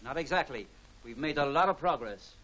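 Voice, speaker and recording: An actor playing an earnest, eager inventor in a 1940s film serial, speaking formally on a hissy old soundtrack. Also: male voice, on the high side, lively intonation, a little fast, sharp tone, low-quality recording. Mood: welcoming. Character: earnest, eager inventor hosting a television demonstration.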